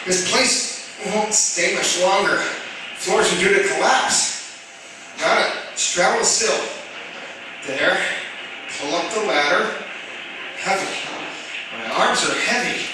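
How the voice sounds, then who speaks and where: Man playing a high-voiced straining firefighter, very echoey. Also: animated, slow pace, pauses often. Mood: strained. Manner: effortful muttering, calls out to others. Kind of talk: radio drama acting. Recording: echoey, distant, clearly noisy.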